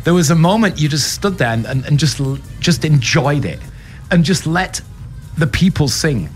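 German-accented male radio host, higher voice, upbeat and chatty on air. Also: sing-song, normal speed, soft background music. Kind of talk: live radio interview. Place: radio studio at a festival.

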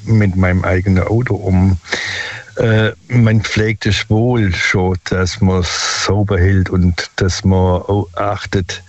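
An older man calls in and tells his story haltingly, with many filler sounds. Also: low-pitched, no room sound. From Stuttgart area. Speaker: caller. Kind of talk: phone-in storytelling. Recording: dull lo-fi phone line.

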